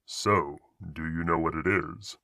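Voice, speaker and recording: An actor playing a protective, practical space adventurer in a tabletop roleplay, terse and steady. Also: male voice, rumbling low, unhurried, creaky, no echo, clean studio sound, full character voice. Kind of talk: ASMR audio roleplay acting.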